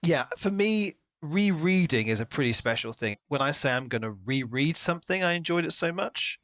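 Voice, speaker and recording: A man's voice: on the high side, lively intonation, normal speed, fairly bright tone, no room sound. A man co-hosting a podcast, chatting casually about books and slipping into imagined quotes as he talks. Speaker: podcast co-host. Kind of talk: casual book discussion.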